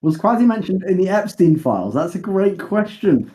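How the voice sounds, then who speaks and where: A young man hosting a mock launch webcast, joking and often pausing with fillers. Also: high voice, big pitch swings, warm tone, breathy edge. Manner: joking, hesitant with many fillers. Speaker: webcast host.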